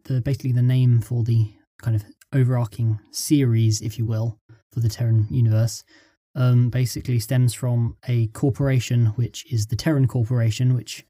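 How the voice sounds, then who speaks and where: Very mellow, halting male podcast guest. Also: mid-range voice, little pitch movement, no room sound, studio quality. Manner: halting, piecing phrases together. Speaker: interview guest, scriptwriter. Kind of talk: casual interview answers. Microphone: close studio microphone.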